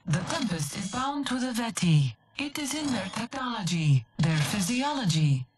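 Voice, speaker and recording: Game military advisor: commanding woman, ruthless, emphatic and animated, formal delivery, noisy backdrop. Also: on the high side, moderate pace. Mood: fierce resolve. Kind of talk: cinematic video game briefing.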